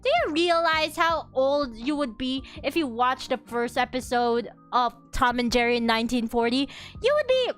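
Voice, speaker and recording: Young woman vtuber yapping at chat, high voice, lots of restarts, bit of music in the back. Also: moderate pace, clear, resonant tone. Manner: animated, exaggerated outrage, many restarts. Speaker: VTuber streamer. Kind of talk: casual chat while drawing, reading chat.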